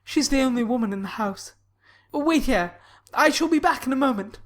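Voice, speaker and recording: A jittery, frightened gentleman speaks in a high, fluttery voice, put on by a woman reader. He sounds anxious and unequal to the moment. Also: expressive, normal speed. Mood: hurried. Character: nervous, timid middle-aged man, easily frightened.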